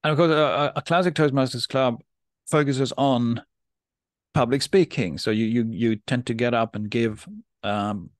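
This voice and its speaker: A man from Norway hosts a podcast interview. He sounds measured and polite, often restarting his sentences as he frames each question. The recording is dry and close, with no room sound around him. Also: medium pitch, no echo. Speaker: podcast host and debate coach.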